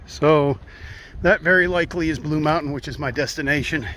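A man's voice: a little high, fairly quick, sharp tone. Chatty man hiking through woods. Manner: chatty, narrating while walking. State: out of breath at times. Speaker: hiker vlogger. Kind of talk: casual trail vlog. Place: outdoors on a forest trail. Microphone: handheld phone. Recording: faint wind and stream noise.

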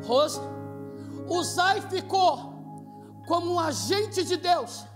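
A pastor in his fifties preaching with a Brazilian Portuguese accent, his voice sitting very high for a man. He acts out his story animatedly, shouting the characters' lines. His handheld mic rings in a long church reverb. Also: animated, slow. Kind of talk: sermon with live interpretation. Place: church stage with projected screen. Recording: long church hall reverb, faint music.

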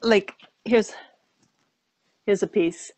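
A woman's voice: mid-range voice, drawn-out words, a little mellow, a little hiss or hum. An older American woman, a rug maker and author, telling stories in a live video interview over earphones. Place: home studio in front of a colorful rug. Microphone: earphone mic on a video call. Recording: dull lo-fi video call audio.

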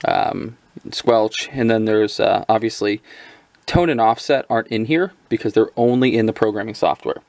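A man's voice: mid-range voice, darkish tone, dry recording. A man giving a casual, unscripted gadget overview as a reviewer, explaining things informally. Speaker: product reviewer.

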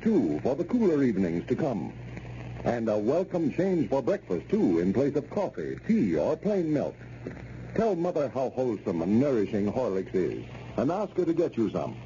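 An American man announcing in polished 1930s radio style, reading a sponsor's message with a measured sales delivery. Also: medium pitch, sing-song, dark tone. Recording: dull, noisy old radio recording.

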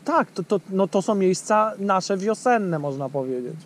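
A man in his thirties talks in a fairly high voice, recorded on an action camera in a small boat out on a lake. He chats easily with a friend and turns to the viewers, with plenty of fillers. Faint outdoor noise runs underneath. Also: expressive, a little slow. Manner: chatty, addresses viewers directly, many fillers. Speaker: fishing channel host. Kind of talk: casual outdoor fishing vlog chat.

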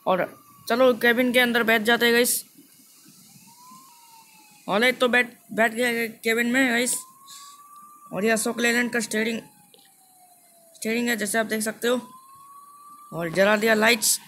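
A man's voice: very high-pitched, steady tone, normal speed, long pauses, bright, forceful tone. A man hosting a gaming channel with casual gameplay commentary, repeating and restarting phrases as he addresses viewers. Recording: noisy, vehicle engine sound behind voice.

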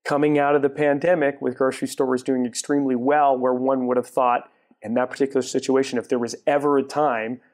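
A man in his forties, a guest in a studio interview, explaining at length with frequent restarts, on a lapel mic in a TV studio. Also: medium pitch. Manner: halting restarts, then steady explanation. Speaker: guest, retail property developer. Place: TV studio set.

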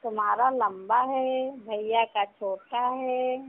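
A woman's voice: a little high, fairly even intonation, slow pace. A woman in a casual phone conversation, answering in short, hesitant replies. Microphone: phone call. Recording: tinny phone call with background noise.

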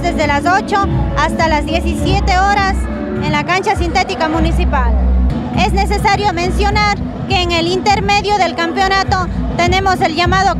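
A woman in her thirties with an Ecuadorian Spanish accent giving a formal, read-out speech at a sports opening ceremony. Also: extremely high pitch. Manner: reading from a prepared text. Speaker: championship president. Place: covered outdoor sports court, music and crowd behind. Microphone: handheld microphone through speakers. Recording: echoey outdoor PA with loud crowd and music.

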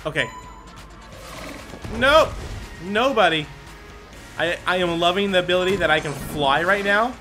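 A man giving let's-play commentary, thinking aloud and bursting into excited exclamations. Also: high voice, a little slow, pauses often. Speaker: gaming commentator. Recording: game music under the voice. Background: game music.

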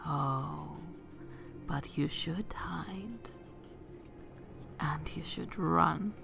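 Woman playing a whispering, slow, seductive vampire mistress. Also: sits low, over music, full character voice. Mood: vengeful, menacing. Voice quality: breathy, mostly whispered. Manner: slow, deliberate, dramatic pauses. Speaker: ASMR roleplay performer. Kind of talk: whispered ASMR roleplay.

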